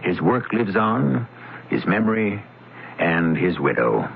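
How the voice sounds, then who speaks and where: A male narrator opening a radio mystery drama in a portentous, measured way. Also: sits low, very animated, creaky, background noise. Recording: dull old radio recording with music.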